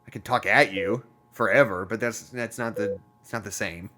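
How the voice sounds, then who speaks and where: A man's voice: on the low side, normal speed, sharp tone. A man playing an earnest, lonely, chatty character in an audio drama, rambling informally with wry humor. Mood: wistful, wry.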